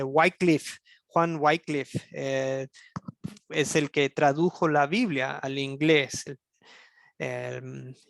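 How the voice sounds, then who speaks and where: An American man, native English speaker, teaching a history lesson at a slow, deliberate pace. His voice is slightly high and very clear. The recording is dry and close, with many small pauses and self-corrections. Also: no room sound. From United States. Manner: slow, halting, many fillers and restarts. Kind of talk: online church history class.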